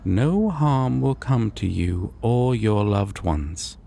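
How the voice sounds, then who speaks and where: A man playing a courteous, solemn god of dreams in a fantasy audio roleplay, with formal, deliberate phrasing. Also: on the low side, animated, gravelly, mellow, full character voice. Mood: calm, formal.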